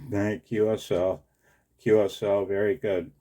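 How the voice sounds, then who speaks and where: A man's voice: fairly low pitch, flat delivery, unhurried, dark tone. An American man in his sixties talking casually as an amateur radio operator, earnest and deferential. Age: 60s. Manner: earnest and deferential, often saying sir. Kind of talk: casual two-way radio conversation.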